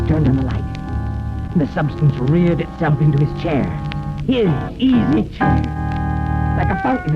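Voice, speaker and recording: A man narrating a horror tale in theatrical, suspenseful 1930s radio style with dramatic pauses, on a muffled vintage recording. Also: mid-range voice. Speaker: horror show narrator and host. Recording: muffled 1930s radio, loud music behind.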